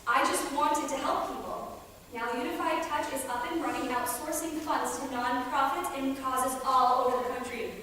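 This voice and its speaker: Actress doing a high voiced stressed young wife in a stage play, earnest n emotional, super echoey hall, far from mic. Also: female voice, normal speed, pushed and bright, a little hiss or hum. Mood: cheerful, modest. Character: anxious, frustrated young married woman longing for a baby. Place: theatre stage, distant microphone.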